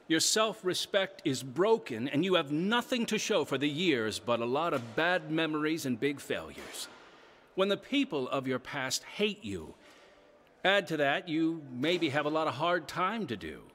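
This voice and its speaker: A man's voice: on the high side, sing-song, a little slow, a bit bright, slight background noise. An actor playing an earnest male prison preacher giving a sermon in a radio drama.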